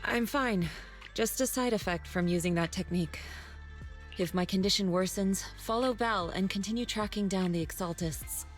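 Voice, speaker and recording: A woman as composed martial arts master, mid-pitched. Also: normal speed, soft background music, natural voice. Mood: steady, reassuring. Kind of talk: anime game voice acting.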